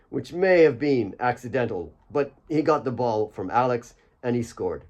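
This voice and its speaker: A man's voice: medium pitch, darkish tone. Man narrating an audiobook novel. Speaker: author reading own audiobook. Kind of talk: scripted narration, audiobook storytelling.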